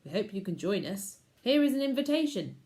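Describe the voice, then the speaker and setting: A jolly, polite scout leader chirps his welcomes in a very high, bright voice, as a woman reading the storybook puts him on. He sounds eager and cheerful. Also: big pitch swings, brisk, a little hiss or hum. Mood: friendly.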